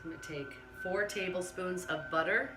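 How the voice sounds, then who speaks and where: A hoarse middle-aged woman with laryngitis, talking quietly and partly whispering as she demonstrates a recipe in her home kitchen. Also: fairly low pitch, moderate pace, loud music behind the voice. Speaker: home cooking host. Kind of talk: casual cooking demonstration.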